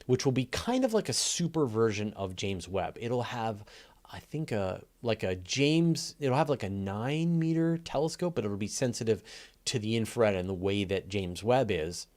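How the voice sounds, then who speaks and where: A man's voice: on the low side, expressive, normal speed, darkish tone. A man hosting a casual live science question and answer session, explaining conversationally and thinking aloud with enthusiasm. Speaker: host answering audience questions.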